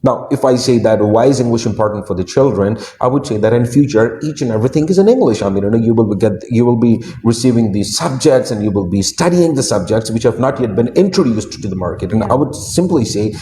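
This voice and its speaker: Urdu-speaking man from Pakistan, stumbling guest speaker; warm tone, faint hiss in a reverberant room. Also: medium pitch, expressive, fast talker, few pauses. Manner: hesitant, repeats words, many fillers. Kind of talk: interview answers.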